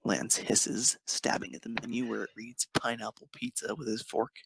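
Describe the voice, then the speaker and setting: Very gravelly, husky male narrator. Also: medium pitch, expressive, moderate pace, dry recording. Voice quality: creaky, husky. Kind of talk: expressive narration of romantic fan fiction.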